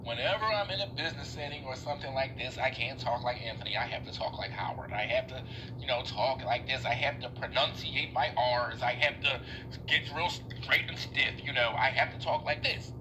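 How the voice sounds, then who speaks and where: A young man venting in a casual, profane monologue to a live stream, his voice swinging up and down as he talks. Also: brisk. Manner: animated, swearing, voice swinging up and down in pitch. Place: indoors in a workplace room. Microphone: phone held low at arm's length. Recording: phone live stream with clear background noise.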